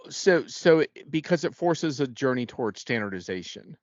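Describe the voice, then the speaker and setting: A man's voice: medium pitch, expressive, normal speed, dry recording, highs cut off. A man speaking as a guest analyst in an unscripted panel discussion, in a conversational way with frequent self-corrections.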